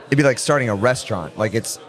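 A man's voice: mid-range voice, expressive, fast talker. A man hosting a podcast, chatting casually and agreeably with a guest in an affirming manner. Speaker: podcast host. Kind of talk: casual interview chat.

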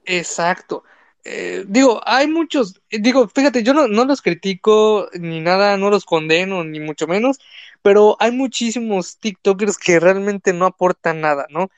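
A man's voice: high-pitched, measured pace, no room sound. A young man from Mexico with a Mexican accent, a content creator chatting casually on a live stream, full of fillers and restarts. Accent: Mexican Spanish. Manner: rambling, many fillers and restarts.

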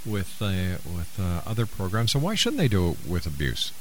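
A man's voice: sits low, wildly swooping pitch, moderate pace. A man hosting a radio talk show, conversing with a guest and repeating phrases for emphasis. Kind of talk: interview conversation. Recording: faintly noisy radio interview audio.

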